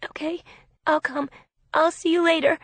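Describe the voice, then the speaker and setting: A naive young bride-to-be, acted by a woman narrator, speaks high and tearfully. She pleads and defends herself with stubborn emotion, in a close, dry storybook recording. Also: lively intonation, normal speed, no echo. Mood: nervous.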